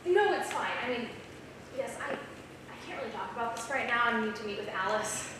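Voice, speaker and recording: A woman's voice: on the high side, animated, a little fast, lightly acted. Woman playing a guarded young writer, distant echoey stage. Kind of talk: staged script reading. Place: small theater, recorded from the audience. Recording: distant, very echoey noisy theater recording.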